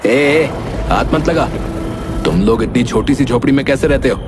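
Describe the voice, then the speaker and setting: A spoiled young prince acted by a man in a cartoon fairy tale, speaking in a petulant, imperious way with a mid-pitched male voice. Clear music runs underneath. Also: moderate pace, natural voice. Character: spoiled, haughty young prince, whiny and demanding. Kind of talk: Hindi animated moral story dialogue.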